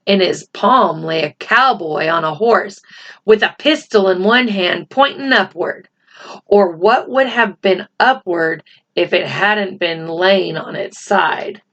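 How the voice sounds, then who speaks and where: A woman narrates a children's storybook at an unhurried pace. She lingers on key words in a deliberate read-aloud style. The recording is a little dull and lo-fi. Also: medium pitch, fairly bright tone. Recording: slightly dull, lo-fi home recording.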